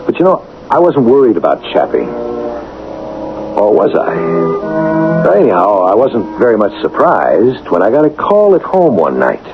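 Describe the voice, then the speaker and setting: An actor playing a folksy, reflective football coach who narrates a radio drama in a conversational, musing way. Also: male voice, medium pitch, huge pitch swings, measured pace. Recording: tinny vintage radio broadcast with music.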